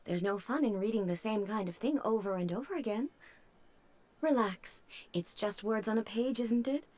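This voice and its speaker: A woman's voice: mid-range voice, close to an everyday voice. An actress voicing a composed, regal woman ruler in a game. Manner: calm, measured, slightly puzzled. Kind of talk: game dialogue.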